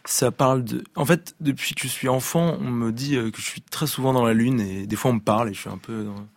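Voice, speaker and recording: A man's voice: mid-range voice, brisk, creaky, no room sound. A young man from Brittany, a musician guest in a radio interview, who talks with many restarts and fillers. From Rennes, Brittany, France. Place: radio studio.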